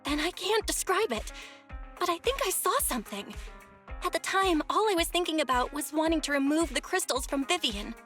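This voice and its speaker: An earnest young game heroine, with a high voice. She sounds dazed and worried, with soft music behind her. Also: expressive, normal speed. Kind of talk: video game voice acting.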